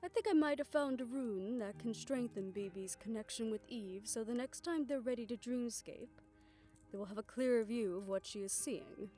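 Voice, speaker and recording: A soft, somewhat high female voice from an actress plays a forgiving survivor at peace with herself. Her delivery is measured and reassuring in an intimate audio roleplay, with faint music underneath. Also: natural voice. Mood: gently eager. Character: gentle, forgiving woman, once experimented on, now at peace.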